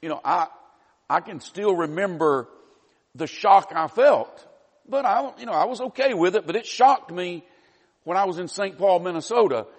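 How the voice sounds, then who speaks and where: High-voiced Southern preacher in an echoing hall. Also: male voice, expressive, normal speed, breathy edge. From Southern United States. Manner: folksy, earnest, with many "you know" asides. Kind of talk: sermon.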